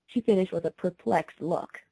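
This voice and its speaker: A woman's voice: on the low side, moderate pace, creaky, mellow, breathy edge, dry recording, poor audio. A woman reading scripted story narration aloud as the narrator.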